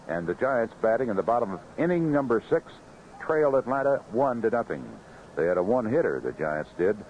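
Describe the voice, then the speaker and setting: An American man giving a seventies baseball radio report in a measured, conversational way. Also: fairly low pitch. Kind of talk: 1970s radio scoreboard report and sponsor reads. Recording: dull, faintly noisy 1970s AM radio.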